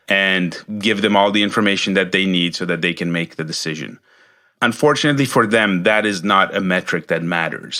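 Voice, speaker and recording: A man's voice: fairly low pitch, pushed and bright. A man hosting an instructional business podcast, coaching listeners in an emphatic way and repeating key points for stress.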